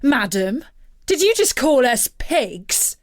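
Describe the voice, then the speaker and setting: A woman's voice: sits high, big pitch swings, slow pace, no echo, studio quality, pitched above the performer's own voice, exaggerated voice. A woman voicing a hearty, gullible male Greek sailor lord in a storybook tale, exclaiming his lines. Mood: astonished. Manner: declamatory, exclaiming. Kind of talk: storybook character voice.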